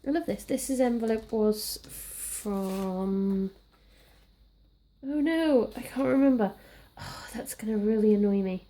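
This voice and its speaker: A young Welsh woman, a little croaky from being sick, chatting casually and rambling while she works on a scrapbook page. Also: mid-range voice, takes it slowly, dark tone. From Wales. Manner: chatty, rambling, self-deprecating. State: slightly croaky from illness. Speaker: scrapbooking crafter. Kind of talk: casual crafting chat.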